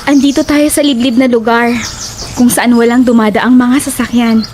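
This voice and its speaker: A woman's voice: a little high, little pitch movement, moderate pace, a little mellow, a little hiss or hum, lightly acted. A woman playing a young, fretful and inquisitive travelling companion in conversational audio horror drama dialogue. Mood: concerned. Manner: inquisitive, everyday conversational delivery.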